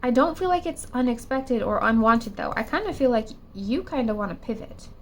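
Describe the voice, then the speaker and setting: A woman's voice: a little high, animated, brisk, a little hiss or hum. A woman giving a pick-a-card tarot reading, her voice still affected by a cold, musing hesitantly with many fillers and restarts. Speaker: tarot reader.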